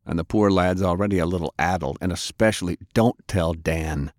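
A gruff older adventurer, played by a male audiobook reader, low-voiced and plain-spoken. He sounds frank and protective, recorded dry and close. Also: normal speed, no room sound, close to an everyday voice.

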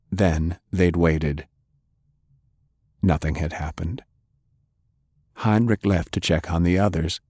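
A man reading fiction as an audiobook narrator, dramatic and grave, with measured delivery. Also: sits low, darkish tone, no echo, studio quality.

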